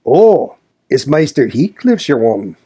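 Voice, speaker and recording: Male reader as a sour old servant: scolding, pious, raised and husky, thick rustic speech. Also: medium pitch, big pitch swings, unhurried, mellow, pitched above the performer's own voice. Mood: grumbling. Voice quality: broad rustic dialect, scolding. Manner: scolding, preachy.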